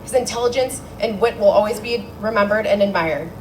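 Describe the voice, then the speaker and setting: A young woman giving a memorial speech for her father into a handheld mic, telling the story with warmth and dry humor. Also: medium pitch, brisk, a little hiss or hum, poor audio. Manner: heartfelt, wry storytelling. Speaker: daughter giving a eulogy speech. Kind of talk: memorial speech read aloud. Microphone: handheld microphone.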